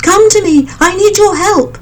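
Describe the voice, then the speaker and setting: A woman reading a storybook voices a small mother bird, fierce with grief and anger, in a high shrill voice. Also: animated, unhurried, a little mellow, slight background noise. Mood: calling.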